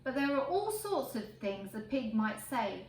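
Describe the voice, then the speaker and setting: A woman reading a picture book aloud to children in an expressive, emphatic way. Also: fairly high pitch, measured pace. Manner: expressive, emphatic phrasing for young listeners. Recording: roomy echo, faint background noise.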